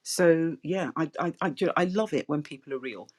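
A middle-aged woman with a soft voice, hosting a casual property chat over a video call, chatty and laughing often. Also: low voice, normal speed. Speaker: property podcast host. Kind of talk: casual online interview chat.

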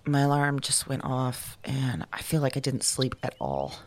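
An actress playing a sleepless, haunted woman who confides her dreams to an audio diary, halting and drowsy, sometimes slipping toward a whisper. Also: rumbling low, very animated. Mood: groggy, rattled. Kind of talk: intimate audio drama monologue as recorded diary.

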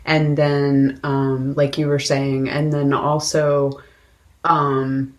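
A woman's voice: a bass voice, little pitch movement, extremely slow. A woman co-hosting a film podcast in casual conversation, reflective and often searching for her words. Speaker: podcast co-host discussing films.

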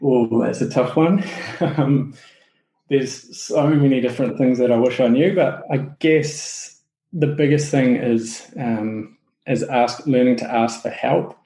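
Man, New Zealand accent, podcast guest, mellow voice, filler-heavy casual talk, echoey room. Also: medium pitch, slow. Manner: relaxed, many fillers and restarts. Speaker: podcast guest, SEO business owner. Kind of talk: casual interview chat.

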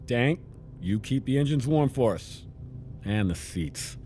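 A man playing the brisk, direct leader of a team of scientists in a sci-fi audio drama. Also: medium pitch, animated, music in the background, lightly acted. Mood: firm. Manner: authoritative, direct.

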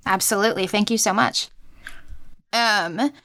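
A woman's voice: medium pitch, unhurried, bright, forceful tone, dry recording. Woman hosting, very dry close sound. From Puerto Rico. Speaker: podcast host, interviewer. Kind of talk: casual interview hosting.

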